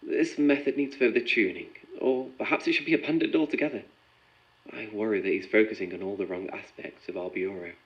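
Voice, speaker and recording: A man's voice: lowish voice, a little fast, lightly acted. An actor playing a composed, methodical male researcher in an audio drama, speaking in a clinical, probing, precise way. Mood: businesslike, dissatisfied. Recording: muffled sound with music behind.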